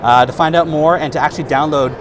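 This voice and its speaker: A man in his thirties, a product specialist, giving a relaxed, explanatory trade-show demo on a lapel mic. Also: on the high side, fast. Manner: relaxed, explanatory, casual fillers. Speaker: product specialist demonstrating software. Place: trade-show booth, indoors. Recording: noisy trade-show booth.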